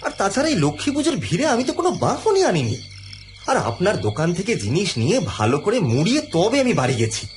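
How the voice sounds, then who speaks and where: An irritable, high-pitched office worker. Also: male voice, wildly swooping pitch, brisk, faint music behind. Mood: arguing. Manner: complaining, stammering, argumentative. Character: irritable, indignant middle-class male office worker. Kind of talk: audio drama acting.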